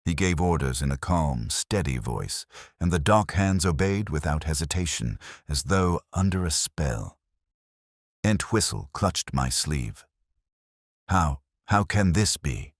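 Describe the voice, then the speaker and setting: A man reading a scripted dramatic mystery story in a measured, grave manner. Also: a bass voice, lively intonation, dry recording, clean studio sound. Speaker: audiobook narrator.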